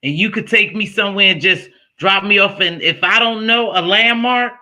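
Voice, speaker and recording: High bright chatty man host. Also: normal speed. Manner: chatty, storytelling, asks questions. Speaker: interview host. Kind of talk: casual interview chat.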